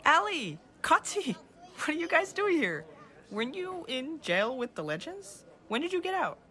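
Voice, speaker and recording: Woman acting an excitable hostess in an audio drama, really high voice, super swoopy. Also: moderate pace, full character voice. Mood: surprised. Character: Tia, excitable, hospitable woman friend at home.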